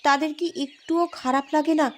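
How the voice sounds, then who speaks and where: A woman's voice: high voice, fairly even intonation, a little fast, lightly acted. A woman voicing an earnest, curious young village girl in a cartoon, speaking with wide-eyed emphasis. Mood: astonished, curious. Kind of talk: Bengali cartoon voice acting.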